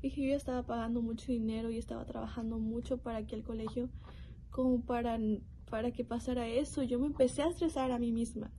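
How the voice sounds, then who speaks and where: Young woman, earnest faith testimony, higher mellow voice, faint hiss behind. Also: fairly even intonation. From Mexico. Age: young adult. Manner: earnest, reflective, with pauses and restarts. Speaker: youth program speaker. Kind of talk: personal testimony.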